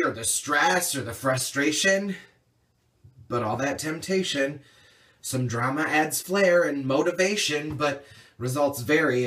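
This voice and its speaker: A man's voice: medium pitch, sharp tone, distant mic. A man performing rhyming slam poetry in an earnest, rhythmic, emphatic way. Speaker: slam poet.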